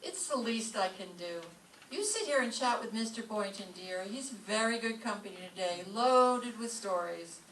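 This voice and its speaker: A woman's voice: medium pitch, normal speed, natural voice. Wry schoolteacher, acted by a woman, echoey stage recording. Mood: breezy, sarcastic. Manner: deadpan, sarcastic. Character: wry, sharp-tongued single woman schoolteacher. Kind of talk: staged old-time radio comedy acting. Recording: distant roomy stage recording, faint noise.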